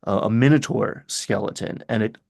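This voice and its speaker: A man talking casually as a game master, telling stories in an animated way and acting out little asides. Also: fairly low pitch, normal speed, creaky. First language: English. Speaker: game master discussing his tabletop games. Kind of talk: casual conversational chat.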